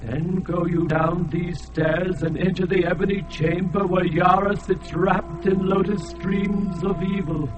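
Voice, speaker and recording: An actor playing an ancient, blinded alien god who is enslaved and sorrowful, speaking in a grave, chanting way. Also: male voice, high-pitched, steady tone, over music, rough recording. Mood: sorrowful, solemn. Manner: slow, grave, chanting delivery. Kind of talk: radio drama acting.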